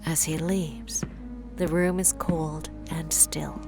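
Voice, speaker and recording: A woman's voice: low-pitched, little pitch movement, takes it slowly, over music. A woman giving scripted narration for a gritty superhero audio drama as its storyteller. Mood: grave.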